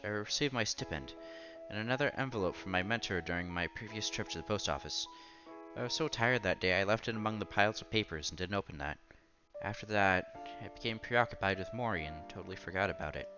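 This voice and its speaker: Male let's-player, low forceful voice, casual read-aloud with small stumbles, music behind. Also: muffled recording. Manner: stumbles and repeats words while reading. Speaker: streamer reading a visual novel aloud. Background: game music.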